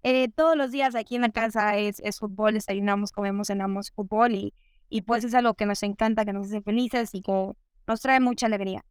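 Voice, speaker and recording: A woman's voice: mid-range voice, steady tone, a little fast, clear, resonant tone, no room sound, clean studio sound. A young adult woman co-hosting a podcast, telling stories in a cheerful, chatty way. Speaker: podcast co-host. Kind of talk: casual chat.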